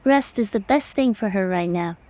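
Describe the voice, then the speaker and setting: A woman's voice: mid-range voice, expressive, moderate pace, dark tone, lightly acted. A woman voicing a protective, childlike young idol girl in an earnest drama style. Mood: gentle.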